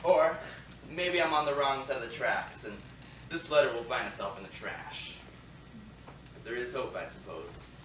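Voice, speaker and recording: Casting: male actor as eager, awkward 1940s Japanese-American GI, medium pitch, stage reading in an echoey room. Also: expressive, moderate pace, bright, forceful tone. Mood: bemused, hopeful. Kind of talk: staged reading of narration and letters. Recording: echoey theatre, distant mic, faint noise.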